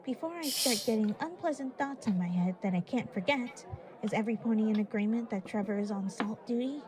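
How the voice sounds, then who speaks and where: A woman's voice: a little high, a little fast, a little mellow, close to an everyday voice. Woman voicing a confident, teasing pony ringleader. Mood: brisk, composed. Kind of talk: fan fiction audio drama reading.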